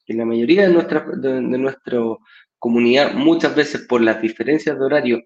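A man co-hosting a live stream over a video call, explaining things in a measured way. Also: mid-range voice. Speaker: co-host, sales director. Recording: echoey, dull video call audio.

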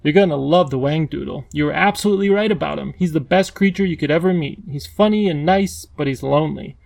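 A man's voice: fairly high pitch, fast, vocal fry, darkish tone. A man voicing a brave, earnest young girl who urges and coaxes in a storybook reading. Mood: soothing, eager. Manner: pleading, eager.

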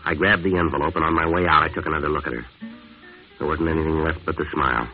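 An actor playing a tough, world-weary American jazz musician, clipped and deadpan, in a 1950s hard-boiled radio drama. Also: male voice, extremely low pitch, fast talker. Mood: deadpan, brooding. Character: tough, world-weary male jazz musician narrating his crime story. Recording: tinny old radio recording with music.

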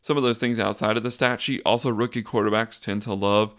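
A young man hosting a casual solo sports podcast, talking in a flat, monotone, rambling way. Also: lowish voice, a little fast. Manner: monotone, says so himself; relaxed, rambling with fillers and restarts. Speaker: podcast host.

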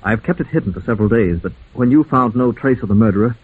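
A man playing a smooth, deceitful businessman in an old-time radio mystery, on a muffled vintage recording. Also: medium pitch, quick pace, lightly acted. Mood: grave, confiding. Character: smooth deceitful businessman framing his partner's wife.

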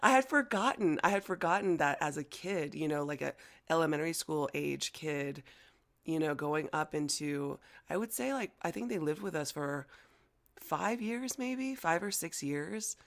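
Gravelly-voiced chatty American speaker. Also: mid-range voice, expressive, normal speed. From United States. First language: Chinese. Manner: chatty, many fillers, laughs easily. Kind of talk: casual conversation.

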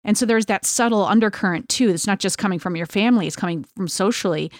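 A woman's voice: medium pitch, fast, no echo, studio quality. A middle-aged woman hosting a conversational podcast interview, informal and explanatory as she talks. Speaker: podcast host.

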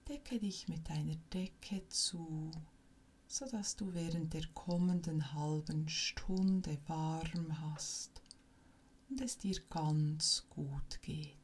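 A woman leading a guided yoga nidra meditation as instructor, speaking softly, almost in a whisper, in a calm and soothing manner with long pauses between short phrases. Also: sits low. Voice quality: soft, breathy, near-whisper.

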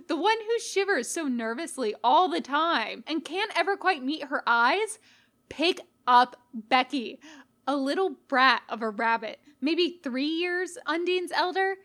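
A woman giving an expressive narration of a scripted story, as an audiobook-style reader. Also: high-pitched. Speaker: podfic reader.

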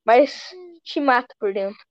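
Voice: mid-range voice, a little slow, dry recording. A podcast host in casual chat, joking and playful. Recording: dry, dull lo-fi recording.

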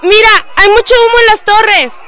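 A woman's voice: extremely high pitch, normal speed, pushed and bright. A woman playing a panicked bystander fleeing disaster in a radio drama, crying out frantically. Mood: alarmed panic. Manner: frantic screaming exclamations. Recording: crowd uproar, urban outdoor ambience.

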